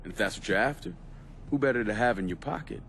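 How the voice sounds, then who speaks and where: An actor playing a cold, menacing crime boss in a video game, speaking tersely and with command. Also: male voice, medium pitch, animated, quick pace, creaky, fairly bright tone, slight background noise. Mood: cold, resolute. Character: cold, menacing young crime boss waging war on the mob. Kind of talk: cinematic game dialogue.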